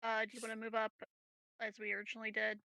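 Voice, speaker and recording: Woman, tabletop player, gravelly edge, bright tone, joking, casual, dry close sound. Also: fairly high pitch, completely flat delivery, fast, dry recording. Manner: wry, joking. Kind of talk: casual game chat.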